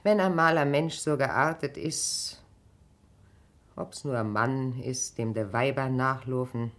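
Weary middle-aged country wife, low voice, very slow and halting, bitter yet proud, radio drama. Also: female voice. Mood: resigned. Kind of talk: naturalistic German radio drama acting.

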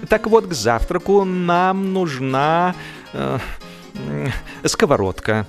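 A man voicing a methodical, self-assured young gentleman in a comic audiobook, speaking emphatically and matter-of-factly. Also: fairly high pitch, lively intonation, slow pace, vocal fry, soft background music, voice pushed up, exaggerated voice. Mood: businesslike.

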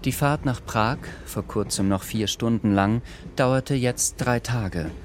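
A man's voice: medium pitch, slow pace, slight background noise. A man narrating a radio drama as scripted literary prose.

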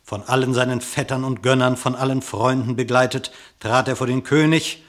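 A man narrating a literary radio drama in verse, with a measured, declamatory delivery. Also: mid-range voice, fairly even intonation, a bit bright.

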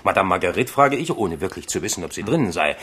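A man's voice: deep voice, very animated, fast talker, a bit bright, slight background noise. An actor in a German radio play playing a loyal, talkative manservant who speaks deferentially to his king. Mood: sly, chatty. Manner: wordy, deferential, storytelling.

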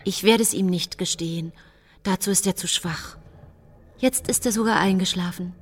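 An actress playing a smug, self-justifying housewife in a radio drama monologue, composed and self-satisfied. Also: female voice, on the low side, normal speed, husky. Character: smug, self-justifying housewife, privately gleeful about widowhood.

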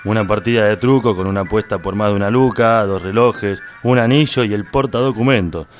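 An actor playing a hapless, grumbling gambler's sidekick with an Argentine accent, wry and slangy in a comic radio play. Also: male voice, lowish voice, brisk, natural voice. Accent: Argentine, Rioplatense. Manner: wry, dry asides, slangy. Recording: music under the dialogue.

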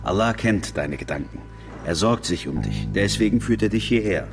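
A calm, caring imam speaks in a low voice. He is soothing and gently probing, in intimate radio drama dialogue over music. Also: moderate pace, creaky. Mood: calm, concerned. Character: calm, caring male imam counselling a worshipper. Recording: music behind.

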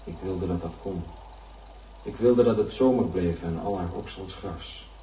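An actor playing a solemn man reciting a yearning love poem in a Dutch radio play, measured, sounding distant and echoey. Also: low voice, dark tone. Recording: distant, echoey, muffled, with background noise.

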